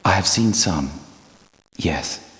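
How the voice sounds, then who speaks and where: An actor playing a proud, sorrowful, devout guardian knight in a video game, with grave delivery and formal, archaic diction. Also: male voice, deep voice, animated, takes it slowly, a bit bright, heavily acted. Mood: ominous. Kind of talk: dramatic video game character acting. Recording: long cavernous hall reverb.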